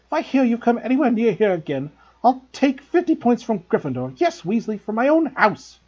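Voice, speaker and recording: Man doing a strict older woman professor, clipped, commanding, raised pitch, lively swings, dull lo-fi sound. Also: brisk, breathy edge, full character voice. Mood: angry.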